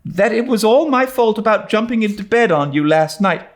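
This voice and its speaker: A man's voice: high voice, expressive, moderate pace, pitched above the performer's own voice. A man voicing an earnest, dramatic young orphan girl with a pleading, resolute manner in an audiobook. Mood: earnest confession. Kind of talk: dramatised audiobook reading of a classic novel.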